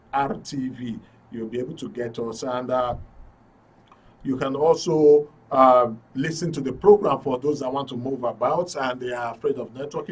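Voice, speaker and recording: A man's voice: medium pitch, darkish tone, breathy edge. A man with a Nigerian accent presenting a live current affairs talk show in an informal, jocular way. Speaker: host of a current affairs radio and TV programme. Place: broadcast studio. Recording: noisy studio, distant mic.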